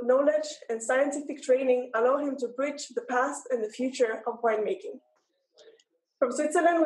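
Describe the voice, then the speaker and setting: A young woman with a very high voice reads out a formal, prepared welcome as an event host. The sound is strongly roomy, like a laptop picking her up in a big empty hall. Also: a little mellow. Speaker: event host introducing a panel. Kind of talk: scripted formal introduction. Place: large empty event hall with rows of chairs.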